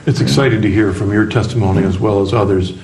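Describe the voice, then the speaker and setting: A man's voice: on the low side, quick pace, darkish tone, rough recording. A man hosting a program, interviewing a guest in a conversational style.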